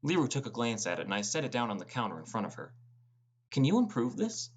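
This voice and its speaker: A man plays a teenage boy gamer telling his own fantasy adventure. It is first-person audiobook narration in a medium-pitched male voice. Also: very animated, fast talker, slightly rough. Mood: narrating.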